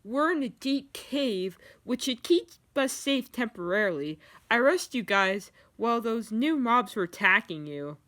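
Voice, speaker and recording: A woman voicing a steady, protective male anime warrior who explains things earnestly. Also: a little high, measured pace, few pauses.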